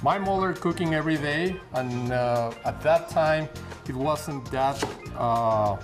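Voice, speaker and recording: A man from Mexico City with a Mexican Spanish accent, a guest demonstrating a recipe in a kitchen and talking haltingly with many pauses and fillers. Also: on the high side. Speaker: guest cook. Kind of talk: cooking demonstration. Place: home kitchen. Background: background music and sizzling pan.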